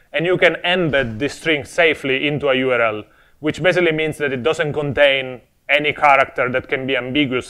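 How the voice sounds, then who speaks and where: A young man with an Italian accent presents a technical talk at a conference, his voice sitting at an ordinary middle pitch. He explains things in a friendly, step-by-step way, with a light humorous touch. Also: fairly bright tone, smooth. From Italy. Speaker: conference speaker. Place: conference stage before an audience.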